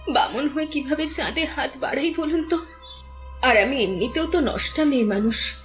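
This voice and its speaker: An actress playing a humble, sorrowful young courtesan in an audio drama, deferential and pleading. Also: female voice, high voice, fairly bright tone. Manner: deferential, earnest. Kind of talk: dramatised horror audio story.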